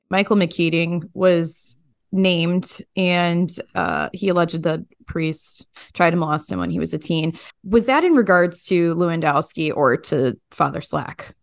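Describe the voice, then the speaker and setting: Woman doing true crime podcast interview, slightly low voice, chatty questions, dry sound no room. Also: a little slow, dry recording.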